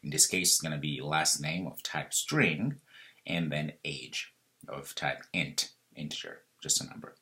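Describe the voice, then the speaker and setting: A man's voice: extremely low pitch, measured pace, bright, forceful tone. A man in his thirties teaching programming over a screen recording, explaining step by step, thinking aloud and correcting himself as he goes. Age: 30s. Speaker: programming instructor. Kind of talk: screen-recorded coding tutorial.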